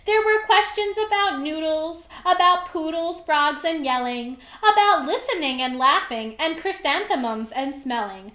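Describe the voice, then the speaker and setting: A woman's voice: high-pitched, animated, a little slow, few pauses, fairly bright tone, reverberant. A woman reading a children's storybook aloud expressively, with dramatic, emphatic phrasing. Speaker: storybook reader.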